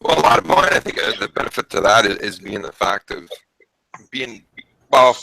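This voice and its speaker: A man's voice: lowish voice, moderate pace, creaky, sharp tone, no room sound, poor audio. A man from eastern Canada with a light, mixed Canadian accent, talking in an easygoing way while hosting a casual stream chat. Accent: light Canadian, mixed from travel. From eastern Canada, Acadian French. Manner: easygoing, thinks aloud. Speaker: channel host.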